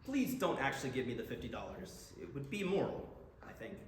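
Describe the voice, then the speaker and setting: A man's voice: mid-range voice, very animated, brisk. Guy acting geeky college dungeon master arguing earnestly, really up and down voice, reverby noisy hall. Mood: wry. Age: young adult. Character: male college student game master, nerdy, argumentative space geek. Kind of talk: staged play reading. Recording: echoey hall, long reverb, background noise.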